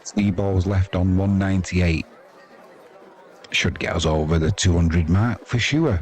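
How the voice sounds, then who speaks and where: A low-pitched man hosts live sports commentary with a crowd murmuring behind him. He talks in a casual, opinionated, matey way, as if chatting directly with his viewers. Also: a little slow. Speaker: live cricket commentator and stream host.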